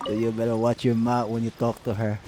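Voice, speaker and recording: A woman's voice: very deep voice, flat delivery, a little fast, background noise. A woman streamer casually commenting over a game, playful and chatty with the characters. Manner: laughing, playful, chats along with the characters. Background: game music.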